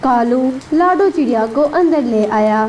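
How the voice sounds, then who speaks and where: A woman storyteller with a high voice reads a children's tale. She is slightly distant from the mic, with faint rain noise behind her. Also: animated, measured pace, darkish tone. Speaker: narrator. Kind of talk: scripted children's story narration.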